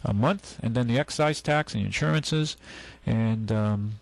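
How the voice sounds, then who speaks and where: A man's voice: mid-range voice, normal speed, gravelly, dry recording. A man hosting a talk radio show, talking in a folksy, rambling, opinionated way. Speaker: radio show host and used car dealer. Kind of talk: casual radio chat. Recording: AM radio broadcast.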